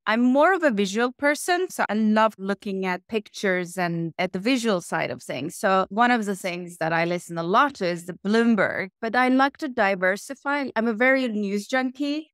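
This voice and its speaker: A middle-aged woman from Iran, raised in Norway, talks through interview questions with a smooth, resonant voice. She sounds lively and thoughtful and lists examples one after another. The recording is dry and close. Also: mid-range voice, no room sound. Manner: lively and reflective, lists things in runs. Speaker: interview guest, tech executive. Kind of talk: casual interview answers.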